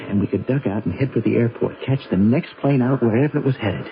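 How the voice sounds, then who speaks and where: A man's voice: mid-range voice, quick pace, close to an everyday voice. An actor in a radio drama playing a determined, reassuring lover, urgent and confident as he coaxes his sweetheart. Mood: coaxing. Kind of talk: 1970s radio drama acting. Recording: dull, noisy old radio recording.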